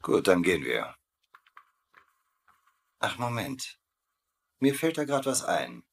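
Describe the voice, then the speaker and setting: Husky, animated, wry prisoner colonel. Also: male voice, deep voice, slow, full character voice. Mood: scheming. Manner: wry, persuasive. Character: wry, scheming American prisoner colonel, cool leader. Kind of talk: dubbed military sitcom acting.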